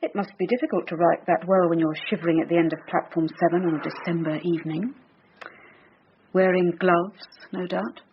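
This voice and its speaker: An actress playing a persistent police detective in a radio drama, brisk, probing and dryly sardonic. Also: female voice, fairly low pitch, fairly even intonation, natural voice. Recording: dull sound, faint background noise.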